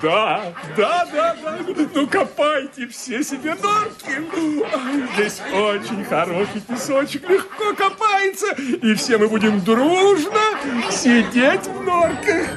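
A giddy, contented sand-digger from a comic radio play. The voice is very high, slow and singsong, with a loud crowd behind it. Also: male voice, big pitch swings, full character voice. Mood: blissful, giggly.

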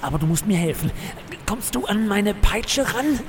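A man's voice: high-pitched, animated, normal speed. Guy doing a hapless wannabe adventure hero, lots of bravado, voice jumps all over, noisy jungle-style audio play. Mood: blustering bravado. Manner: melodramatic, exclamatory, comic bravado. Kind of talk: comic audio drama acting.

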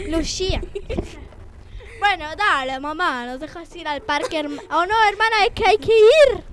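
A young girl improvising in an amateur radio play, playful, pleading and excitable, on a very noisy recording. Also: very high-pitched. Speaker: drama student.

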